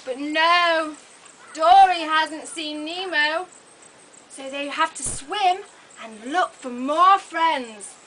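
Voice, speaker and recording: Casting: woman storyteller for young kids, bright forceful voice, unhurried simple phrasing, live camera recording with background noise. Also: sits high. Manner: exclamatory, dramatic gasps, simple short phrases for young children. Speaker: puppet show narrator. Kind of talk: live children's storytelling with audience questions. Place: open-sided thatched hall with audience of children. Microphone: camera microphone from audience. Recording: noisy open-air hall recording.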